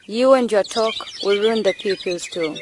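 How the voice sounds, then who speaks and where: A woman's voice: mid-range voice, normal speed, noisy background, natural voice. A young woman playing a proud, defiant village beauty in an amateur stage drama. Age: young adult.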